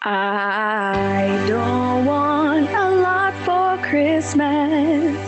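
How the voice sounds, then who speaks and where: A woman's voice: high-pitched, extremely slow. A woman singing a holiday pop parody. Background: music.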